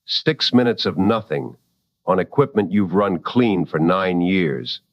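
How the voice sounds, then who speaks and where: A man plays a late-night talk radio host in a drama. His voice is on the low side, close and dry with a slightly muffled tone. He questions callers in a measured, courteous way. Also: animated, no room sound, exaggerated voice. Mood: grave, courteous.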